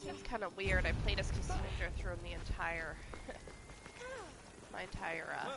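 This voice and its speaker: A woman with a nasal, stuffed-up voice from a cold, chatting casually and relaxed while live-streaming a game. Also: medium pitch, measured pace, vocal fry. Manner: relaxed, chatty, many fillers. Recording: loud game music behind.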